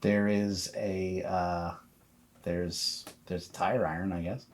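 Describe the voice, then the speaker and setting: A man's voice: sits low, level tone, slow pace. A man narrating a tabletop horror game as game master, describing scenes and asking players for rolls.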